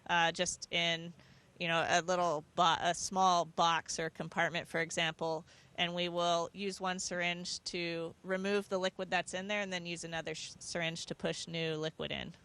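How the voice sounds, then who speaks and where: A woman's voice: lowish voice, level tone, normal speed, a bit bright. A woman astronaut speaking from aboard a space station, giving thoughtful, earnest, explanatory answers in a conversational interview.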